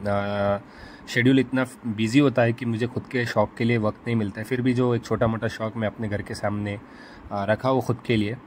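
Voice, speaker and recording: A man hosting his own farm channel, narrating a casual voice-over in a relaxed way, often pausing with fillers. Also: medium pitch, slight background noise. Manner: relaxed, hesitant with frequent fillers. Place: home studio, recorded separately as voice-over.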